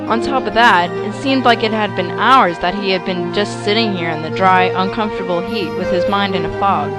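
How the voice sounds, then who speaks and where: A woman's voice: medium pitch, normal speed, over loud music. A woman reading scripted, dramatic story narration for an amateur audio drama.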